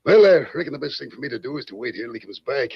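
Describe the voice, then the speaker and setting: An American actor playing a swaggering, scheming outlaw gang boss with a folksy cowboy drawl in an old radio western. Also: male voice, medium pitch, lively intonation, rapid-fire, gravelly, slightly rough, exaggerated voice. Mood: falsely friendly. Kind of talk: 1940s radio western acting. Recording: muffled old radio recording.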